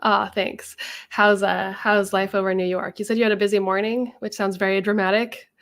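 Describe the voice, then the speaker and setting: A woman co-hosting a casual chat, nasal from a cold, chatty and quick to laugh. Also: medium pitch, flat delivery, fairly bright tone. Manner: chatty, laughs easily, many ums. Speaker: co-host.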